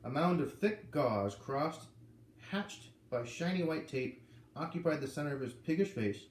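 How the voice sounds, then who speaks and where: Lively man reading a story aloud. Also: a little slow, distant mic. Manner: lively, voices characters with raised pitch. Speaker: reader. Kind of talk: reading a children's novel aloud. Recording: echoey room with faint ambient noise.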